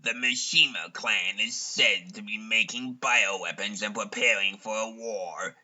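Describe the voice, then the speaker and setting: A man delivering scripted parody narration in a deadpan way, with rambling asides. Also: fairly low pitch, lo-fi sound.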